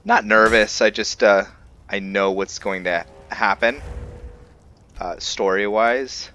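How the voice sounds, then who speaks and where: Male game streamer, deep voice, unhurried and halting, casual roleplay narration, slightly dull lo-fi sound. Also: bright, forceful tone, faint music behind. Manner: laid-back, halting, thinking aloud. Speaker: host of a gaming channel.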